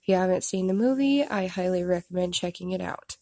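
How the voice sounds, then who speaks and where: A young woman with a stuffed-up nose, casually talking through her drawings into a phone and poking gentle fun at her own work. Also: on the low side, fairly quick, no room sound, clean studio sound. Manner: self-deprecating, rambling with restarts. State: stuffed up, congested. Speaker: artist showing her drawings. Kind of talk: casual narration of a drawing time-lapse. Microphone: phone camera.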